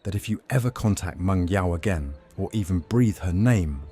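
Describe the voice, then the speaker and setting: Guy doing a young roman vampire master, really low voice, cool n menacing, music behind it. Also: expressive, dark tone, breathy edge. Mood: menacing, teasing. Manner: measured, teasing, faintly threatening. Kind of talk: audio drama roleplay acting.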